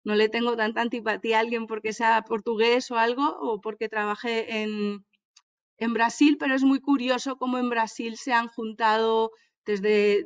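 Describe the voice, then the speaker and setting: A woman with a Madrid accent joins a seminar by video call and speaks about her ideas, pausing often and searching for her words. Her voice sits a little high and sounds smooth and clear. The line itself is dull and muffled. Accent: Madrid Spanish. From Madrid, Spain. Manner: thoughtful, hesitant, searches for words. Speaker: seminar participant. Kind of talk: academic discussion on a video call.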